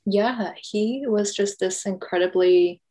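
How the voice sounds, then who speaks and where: An American woman giving thoughtful, halting explanations as an author interviewed about her book. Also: mid-range voice, mellow. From United States. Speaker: author and journalist being interviewed. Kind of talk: conversational book talk interview.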